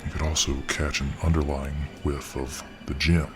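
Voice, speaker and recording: A man narrating a fiction story in a soft, ASMR style. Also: flat delivery. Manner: slow, soft, deep. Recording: narration over clear music.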